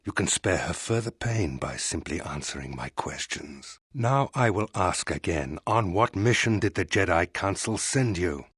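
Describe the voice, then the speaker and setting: An actor playing a cold, sadistic admiral interrogator in a video game, speaking with formal, deliberate menace. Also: male voice, deep voice, expressive, a bit bright, husky, no room sound, studio quality, heavily acted. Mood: cruel contempt. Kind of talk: dramatic video game villain acting.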